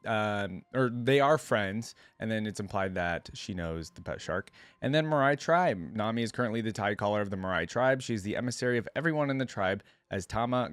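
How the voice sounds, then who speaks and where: A man's voice: lowish voice, no echo. A man doing casual reaction commentary, thinking aloud in laid-back, slangy language. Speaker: reaction video creator and commentator.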